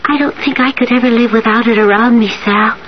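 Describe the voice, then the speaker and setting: An actress playing an earnest young woman, a devoted daughter, in a 1970s radio drama, speaking haltingly and sincerely. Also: fairly high pitch, steady tone, lightly acted. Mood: earnest, wistful. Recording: dull old radio with faint hiss.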